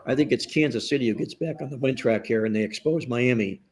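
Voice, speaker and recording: A man's voice: mid-range voice, quick pace, a little mellow, a little hiss or hum. A man hosting a sports betting podcast roundtable, chatty and stat-heavy, joking along the way while he is losing his voice. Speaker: podcast host.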